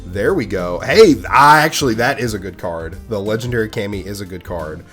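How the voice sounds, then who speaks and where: A man, hoarse from a cold, chatting casually and getting excited as he opens card packs for his channel. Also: on the low side, fairly quick, faint music behind. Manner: chatty, excitable over good pulls. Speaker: gaming YouTuber opening card packs. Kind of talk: casual gaming commentary.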